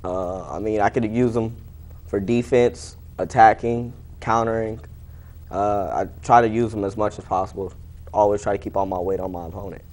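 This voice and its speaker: A man's voice: on the low side, fairly even intonation, normal speed, darkish tone. A young American man around eighteen giving short, plain-spoken, casual answers as an athlete being interviewed. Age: young adult, about 18. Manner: laconic, plain-spoken, understated. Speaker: high school wrestler being interviewed.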